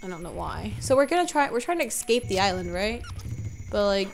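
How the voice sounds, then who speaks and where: A woman's voice: medium pitch, sing-song, fairly quick, creaky, slight background noise. A young woman commentating a video game casually, congested from allergies, rambling and correcting herself often. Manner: rambling, self-correcting, unsure. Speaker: let's play gamer.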